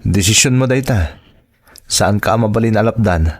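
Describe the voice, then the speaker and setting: A man's voice: on the low side, moderate pace. A man with an Ilocano accent acting expressively in a family radio drama. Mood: resentful. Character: male characters in a family and romance drama.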